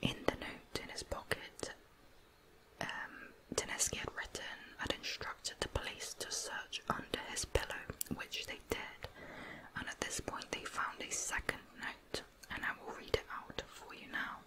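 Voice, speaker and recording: A woman whispering a true crime narrative in ASMR style, softly and in an unhurried, explanatory way. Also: rough recording.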